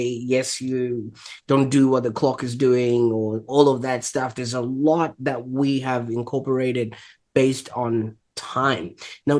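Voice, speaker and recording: Man hosting an interview show. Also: medium pitch. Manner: loose, searching questions with fillers. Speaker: podcast host. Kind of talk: interview conversation.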